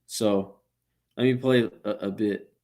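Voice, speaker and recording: A man hosting his channel, introducing a clip in a hesitant, halting way with lots of fillers. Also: lowish voice, fairly even intonation, darkish tone. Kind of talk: casual chat.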